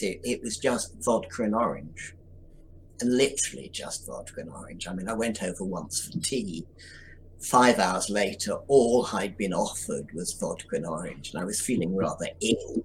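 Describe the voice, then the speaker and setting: A man's voice: on the low side, a bit bright, slight background noise, low-quality recording. A man who produced an audio drama, answering interview questions with reflective, wry anecdotes.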